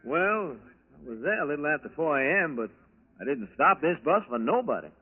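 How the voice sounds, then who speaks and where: Folksy bus driver, rough husky voice, highish and lively, 1940s radio drama. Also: male voice, moderate pace, full character voice. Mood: puzzled. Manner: folksy, ungrammatical. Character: plain-spoken working-class male bus driver. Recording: tinny 1940s radio recording.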